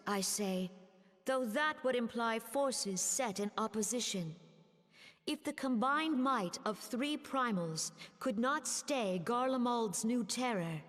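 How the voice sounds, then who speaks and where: An actress playing a dignified, cautious female leader in a fantasy video game, speaking in formal, sorrowful oratory. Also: fairly high pitch. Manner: formal, measured, grave oratory. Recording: long hall reverb.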